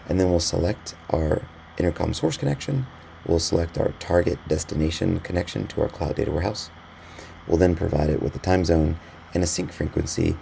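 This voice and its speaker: Really deep guy doing a software walkthrough, lots of vocal fry, faint noise behind him. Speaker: software tutorial narrator. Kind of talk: instructional screencast narration. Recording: voice-over with faint background noise.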